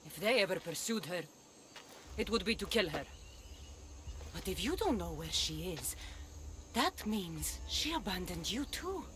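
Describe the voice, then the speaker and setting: A woman's voice: fairly low pitch, sing-song, a little slow, pauses often, background noise. A woman playing a fierce young demigod cult warrior in a video game, contemptuous and threatening as she talks. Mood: cold scorn. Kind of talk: video game dramatic acting.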